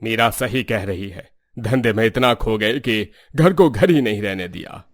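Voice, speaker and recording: Kindly older family patriarch, played by a man, low and reflective, dry recording, storybook drama. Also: lively intonation, a little slow, dry recording. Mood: regretful. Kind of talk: dramatized moral story acting.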